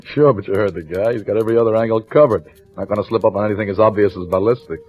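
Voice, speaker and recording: An American actor playing a dry, skeptical police lieutenant, talking and narrating in a laconic, matter-of-fact way in a vintage radio crime drama. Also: male voice, fairly low pitch, very fast, lightly acted. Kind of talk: old-time radio crime drama acting, first-person narration. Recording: dull, lo-fi vintage radio recording. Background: music.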